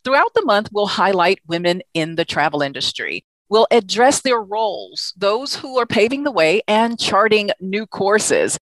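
Woman host, mid pitch, dead-dry sound, welcoming interviewer. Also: lively intonation, moderate pace, few pauses, dry recording, low-quality recording. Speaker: podcast host. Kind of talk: scripted introduction then interview.

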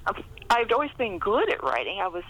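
A woman's voice: mid-range voice, big pitch swings, a little fast, fairly bright tone, dull audio. A middle-aged American woman chatting casually and telling anecdotes as an interview guest. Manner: chatty, laughs often. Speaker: interview guest, historical fiction author.

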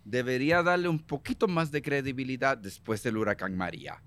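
A man's voice: mid-range voice, fairly quick, fairly bright tone. A man with a Puerto Rican Spanish accent, a guest in a political interview, talking emphatically, repeating himself and slipping into English phrases. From Puerto Rico.

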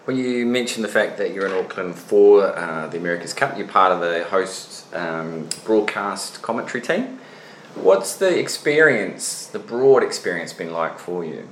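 Low-voiced male interviewer in an echoey room. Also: expressive, normal speed, a bit bright, a little hiss or hum. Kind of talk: interview questions.

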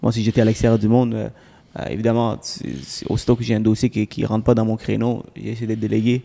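Quebecois guy hosting a podcast, low gravelly voice, pretty monotone, sounds like a reverby room. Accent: Québécois French. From Sri Lanka. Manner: relaxed, halting with repeated words. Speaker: podcast host. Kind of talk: casual interview chat.

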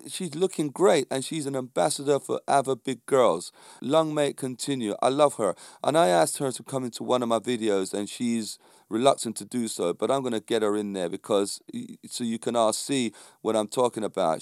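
Clear-voiced middle-aged London man. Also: mid-range voice, a little mellow, dry recording, clean studio sound. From London, England. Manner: conversational, emphatic, checks in with rhetorical questions. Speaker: fitness instructor. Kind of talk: informal motivational monologue to viewers.

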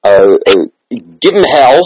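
A man hosting a casual interview, effusive and halting, often repeating his words as he goes. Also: mid-range voice, very animated, darkish tone, dry recording, soft background music, rough recording. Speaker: interviewer, host.

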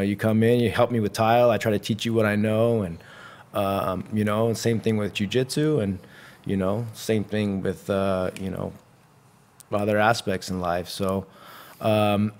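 A man answering interview questions casually, relaxed and filling his talk with "you know" and "I mean". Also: lowish voice. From Santa Cruz, California. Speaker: jiu-jitsu instructor and gym owner.

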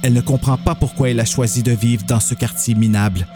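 A man's voice: medium pitch, fairly even intonation, a little fast, over music. A man reading scripted narration for a dramatised horror story, as its narrator.

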